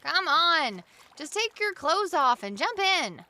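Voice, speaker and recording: An actress as a daring, playful young woman in an audio drama. Her voice is high and very lively, swinging with excitement. She teases freely and sounds bubbly and bright. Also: takes it slowly, a little hiss or hum, exaggerated voice. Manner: teasing, excitable.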